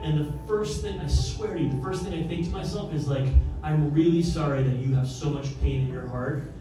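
Guy pumped up on a panel, bit high voice, music under it. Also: recorded from a distance. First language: English. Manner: fired-up, emphatic, talks with his hands. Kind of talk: energetic panel talk. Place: large office event space, indoors. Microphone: handheld mic. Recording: roomy, from afar, with music.

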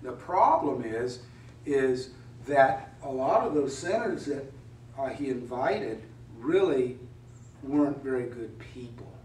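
A man's voice: medium pitch, expressive, slow, darkish tone. A middle-aged male pastor delivers a sermon, talking to the congregation in a casual, wry way and asking rhetorical questions. He stands some way back from a pulpit mic, so his voice comes across a bit distant. The church adds a roomy echo, with a faint hum of ambience underneath. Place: church sanctuary.

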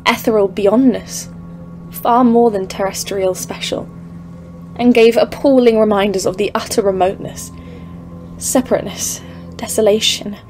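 A woman's voice: mid-range voice, fairly even intonation, normal speed, a little mellow, soft background music, natural voice. A woman acting in an audio drama as a haunted expedition leader of thirty-four, grave and literary, foreboding in tone. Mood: awed, dread. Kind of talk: scripted audio-drama narration and dialogue. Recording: clearly noisy, ambient background.

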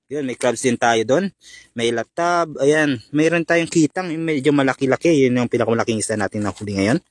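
Isang binatang nagla-live stream habang nangingisda sa gabi, madaldal at bumabati sa mga manonood sa pangalan. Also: male voice, mid-range voice, no room sound. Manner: chatty, greets viewers by name, laughs often. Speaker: fishing vlogger doing a live stream. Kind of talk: casual live-stream commentary. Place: concrete seawall at night, outdoors.

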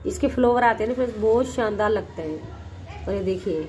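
A middle-aged woman with a hoarse, cold-roughened voice chats casually to her viewers and keeps calling them friends. She is recorded outdoors on a rooftop terrace on a phone held at arm's length. A faint hiss of background noise sits under her voice. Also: medium pitch, a little fast, dark tone. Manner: chatty, repeats "friends" often. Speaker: gardening channel host. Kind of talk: casual vlog talk to viewers.

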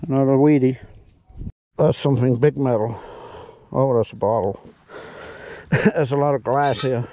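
A man giving casual running commentary while digging outdoors, muttering to himself in an unhurried way. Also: mid-range voice, steady tone, mellow, dry recording. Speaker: metal detecting vlogger. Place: outdoors at an old farm house yard.

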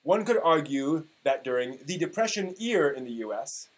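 This is a man in his forties with a voice a little higher than usual. He reads an online post aloud in a theatrical, mock-dramatic style. His delivery is emphatic and sometimes halting. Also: big pitch swings, low-quality recording. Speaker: performer reading old forum posts. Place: small room at home, indoors.

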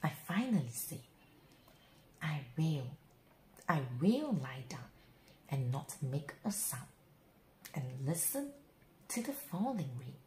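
Female storyteller for kids' picture books, unhurried and hugely expressive, dramatic phrasing, recorded in an echoey room with faint noise. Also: deep voice. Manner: dramatic, deliberate phrasing with drawn-out words. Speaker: picture book reader. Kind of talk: expressive children's bedtime story read-aloud. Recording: roomy echo, faint background noise.

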